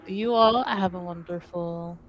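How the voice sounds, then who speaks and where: A woman improvising narration and dialogue in a tabletop roleplaying game, in a halting, giggly, conversational way. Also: lowish voice, highs cut off.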